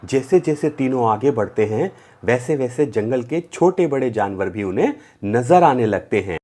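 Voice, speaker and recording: A man's voice: mid-range voice, lively intonation, normal speed, a little mellow. A man narrating a story for children in a storyteller's style. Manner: acts out the characters' dialogue.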